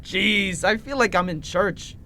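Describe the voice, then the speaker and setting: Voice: medium pitch, unhurried, gravelly, pushed and bright, slight background noise. An actor playing an impulsive small-town reporter doing a goofy, blustering bad-cop routine in a fiction podcast. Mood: suspicious, blustering. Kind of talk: audio fiction podcast acting.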